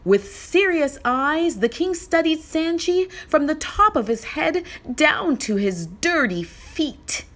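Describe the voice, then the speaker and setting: A woman with a high voice reads a children's story aloud. She narrates expressively and deliberately, taking her time over each phrase. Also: very animated, fairly bright tone.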